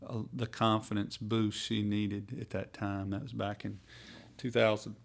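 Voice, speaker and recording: A musician from North Carolina with a Southern accent, telling stories in a folksy, rambling way during a hotel-room interview. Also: male voice, lowish voice, level tone, creaky. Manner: rambling, folksy, drawn-out phrasing. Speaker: bluegrass musician guest. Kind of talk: casual storytelling interview.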